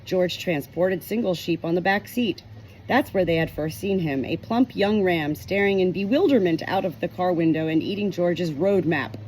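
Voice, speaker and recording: A woman reading a novel chapter aloud in an expressive storytelling manner, giving short quoted lines a light change of voice. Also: lowish voice, moderate pace, slight background noise, rough recording. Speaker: audiobook reader.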